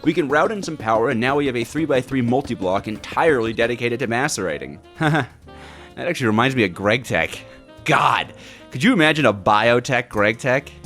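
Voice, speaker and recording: Animated gaming video narrator, male. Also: mid-range voice. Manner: excitable, playful, emphatic. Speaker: host, gaming video creator.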